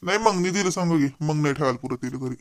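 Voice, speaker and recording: A man playing a hurried, evasive village husband and son in a cartoon comedy. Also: a little high, dry recording, close to an everyday voice. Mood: brisk, evasive.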